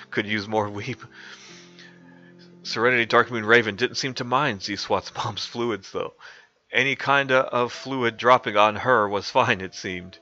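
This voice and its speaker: A male streamer reads absurd fanfiction in a mock-dramatic way, giggling as he goes. His voice is bright and sharp at a middle pitch. The audio is dull and lo-fi, with faint music underneath. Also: slow, pauses often. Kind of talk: comic dramatic fanfic reading.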